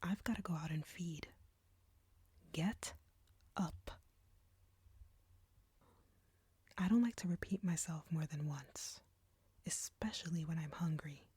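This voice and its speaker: A woman playing an arrogant, flirty vampire captor in an intimate audio roleplay, breathy and whispery, taunting and commanding. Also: low-pitched, steady tone, a little slow, pauses often, mellow, no echo, heavily acted. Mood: impatient, threatening.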